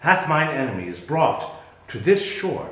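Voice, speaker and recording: A man playing a grave, commanding exiled duke and sorcerer in a deliberate, declamatory Shakespearean stage reading. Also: on the high side, very animated, a bit bright. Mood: solemn, musing. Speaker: actor in dramatic reading. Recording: echoey room.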